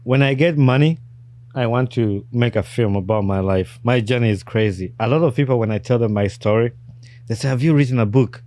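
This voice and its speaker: A man from Uganda, hosting a podcast and telling his own story in casual, animated chat. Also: medium pitch, a little fast, no room sound. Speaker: podcast host.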